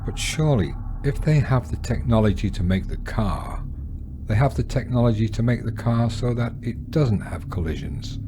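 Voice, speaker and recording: An actor playing an aloof, stern alien superior in an audio drama, with formal, clipped, commanding delivery. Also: male voice, mid-range voice, animated, normal speed, background noise, natural voice. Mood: aloof curiosity. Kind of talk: scripted audio drama acting.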